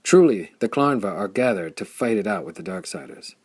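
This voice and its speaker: A man voicing Evelyn, a weary but devoted young heroine, with a soft, pleading way of speaking in an audiobook. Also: fairly low pitch, animated, brisk, slightly rough. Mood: urgent.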